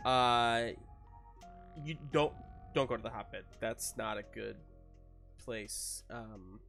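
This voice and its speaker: A man running a tabletop roleplaying game, improvising narration and characters in a halting, searching way. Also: mid-range voice, gravelly, a bit bright. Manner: halting, searching delivery with repeated words. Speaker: game master. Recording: music running behind the voice.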